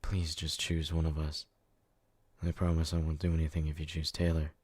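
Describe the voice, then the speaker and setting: A man's voice: very deep voice, monotone, moderate pace, darkish tone, husky, no room sound. A man playing a devoted, brooding, hot-headed but tender yandere in an ASMR roleplay, speaking with earnest intensity. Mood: pleading.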